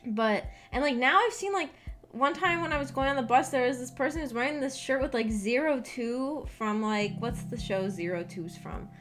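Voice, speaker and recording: Young woman, somewhat high voice, casual streamer chat, giggly, rambling storyteller, light background music. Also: moderate pace. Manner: giggly, animated storytelling with frequent "like". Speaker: streamer hosting a visual novel book club. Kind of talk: casual livestream chat, rambling personal anecdotes.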